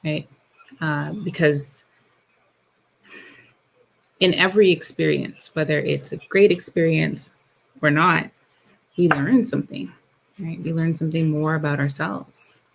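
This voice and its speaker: A woman's voice: low-pitched, steady tone, unhurried, vocal fry, pauses often, slight background noise, rough recording. A woman who works as a declutter coach, a guest who speaks in a reflective way and searches for her words. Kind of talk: casual interview talk.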